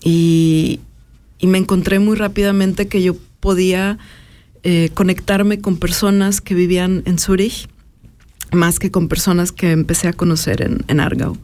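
A woman, talking thoughtfully as an interview guest and pausing often with filler sounds. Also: lowish voice, flat delivery, darkish tone. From Mexico. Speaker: interview guest, artist and educator. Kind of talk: personal reflective conversation.

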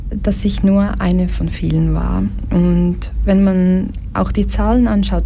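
A young woman from Brazil talks as a radio studio guest with a mellow, soft-edged voice. She speaks slowly and tells her story calmly and with measure. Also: mid-range voice. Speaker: guest, association president. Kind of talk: radio interview.

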